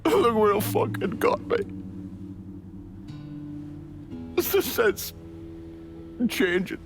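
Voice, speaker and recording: A man voicing a hard-bitten older gang boss in a video game cutscene, with a slow, bitter drawl. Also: high voice, big pitch swings, music in the background, heavily acted. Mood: heated.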